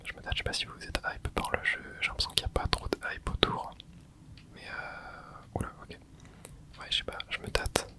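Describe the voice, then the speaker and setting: A host whispering casual gameplay commentary, rambling and thinking aloud with many hesitations. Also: moderate pace. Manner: casual, hesitant, frequent filler words. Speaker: gaming channel host.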